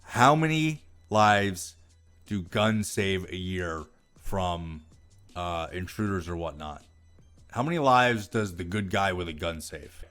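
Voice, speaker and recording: A man's voice: deep voice, slow, a bit bright, faint music behind. An American man reading aloud and ranting casually about it, with profane, exasperated and sarcastic commentary. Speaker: political commentary vlogger.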